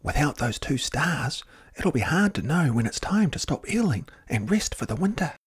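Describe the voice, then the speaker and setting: Man playing an animated, husky old grandmother. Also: on the high side, moderate pace, pitched above the performer's own voice, full character voice. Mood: worried. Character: excitable, fretful old grandmother who tells star tales.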